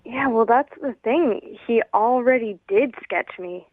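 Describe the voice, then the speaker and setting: A woman's voice: a little high, a little slow, no room sound. A woman calling in to a radio show over a phone line, talking in a casual conversational way. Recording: tinny, narrow-band telephone line.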